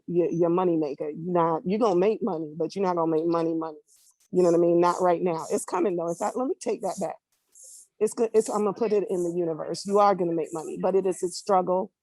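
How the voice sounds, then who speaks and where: A woman's voice: on the low side, warm tone, no room sound. A middle-aged woman from Barbados chatting casually as an interview guest, informal and emphatic, often repeating phrases. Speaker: guest, event promoter and curator.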